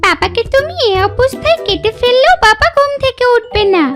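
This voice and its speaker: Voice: extremely high pitch, animated, a little fast. An actor voicing a cheeky young fox daughter in a children's cartoon, chirpy and exaggerated. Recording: children's music bed behind the voice.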